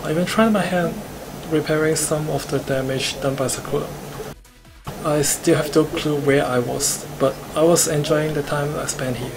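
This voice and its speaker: Higher-pitched man reading aloud over clear music. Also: steady tone, rough recording. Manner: halting, stumbling over the script. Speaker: streamer reading a visual novel aloud. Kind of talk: live playthrough, reading narration aloud.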